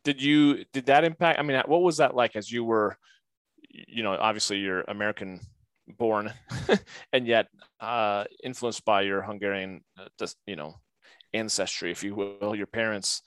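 A man's voice: lowish voice, moderate pace, a bit bright, clear, resonant tone. A man hosting an interview, attentive and affirming, asking follow-up questions. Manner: attentive, affirming, frequent listening sounds. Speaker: podcast host. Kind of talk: interview host.

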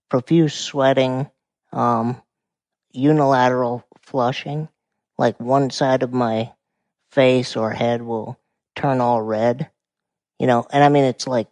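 A man in his forties from Montana with a quiet voice, calmly explaining things as a podcast guest. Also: mid-range voice, dry recording. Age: 40s. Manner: calm, explanatory. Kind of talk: casual conversation.

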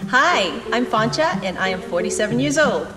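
A woman with a somewhat high voice chats casually as a guest. Her voice carries a long reverb, with music clearly heard behind it. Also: expressive, normal speed. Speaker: participating guest. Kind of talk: casual chat.

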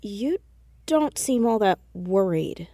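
A woman's voice: medium pitch, lively intonation, slow pace, dark tone, no room sound, studio quality. A woman playing a stubborn, inquisitive teenage girl sleuth in an audio drama, earnest and probing in a casual, argumentative way.

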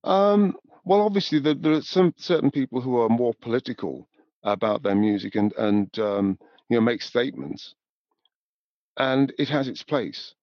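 An older man speaks reflectively in an interview. His delivery is measured and thoughtful, peppered with "you know", in a mid-pitched voice. The recording is dry and a little dull. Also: animated, no echo. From north of England, born in Newcastle. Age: 70s. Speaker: podcast guest, music industry professional.

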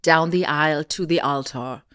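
Eine Frau mit deutschem Akzent plaudert als Podcast-Co-Moderatorin, erklärt erzählend, spielt Zitate nach und sucht mit kleinen Nachfragen Zustimmung. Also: female voice, deep voice, normal speed, a bit bright, clean tone, no echo, studio quality. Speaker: podcast co-host. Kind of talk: casual podcast chat, explanatory retelling.

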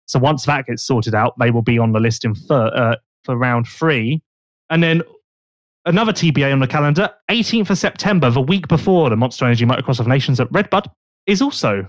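A man's voice: fairly high pitch, no echo. A man hosting a casual podcast, chatting and joking while he thinks aloud. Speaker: podcast host.

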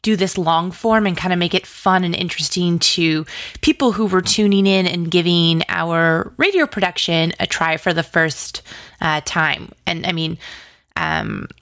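A woman with a lowish voice talks as a guest in a casual interview. Her phrasing is loose and conversational, and the sound is dry, with no room reflections. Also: a little slow, a bit bright, no echo. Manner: informal, conversational, peppered with "like". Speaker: interview guest, audio drama writer.

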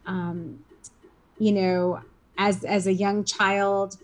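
A woman's voice: mid-range voice, little pitch movement, very slow, poor audio. A woman in her fifties, an interview guest recalling her youth in a casual, rambling way full of "you know" and "um". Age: 50s. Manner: rambling, many fillers and restarts.

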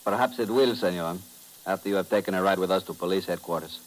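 An actor playing a courteous, formal Cuban police lieutenant with Spanish-accented English in a 1950s radio drama. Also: male voice, low voice, fast talker, close to an everyday voice, rough recording. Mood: courteous, dry.

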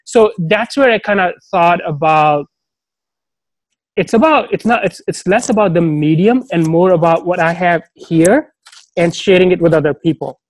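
A man's voice: high-pitched, moderate pace, poor audio. A man as a podcast guest, talking casually and thoughtfully, often stopping to restart his sentences. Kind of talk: casual conversation.

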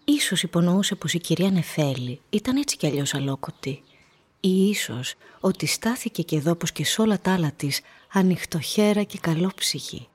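A female storyteller with a low, husky voice, narrating a village mystery. Her literary radio-play delivery is measured and observant. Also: animated, a little mellow.

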